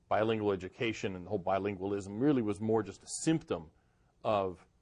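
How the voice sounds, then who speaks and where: A man's voice: lowish voice, darkish tone. A man from Boston and Cleveland, a guest author answering interview questions thoughtfully, with frequent fillers and restarts. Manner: thoughtful, hesitant with many fillers.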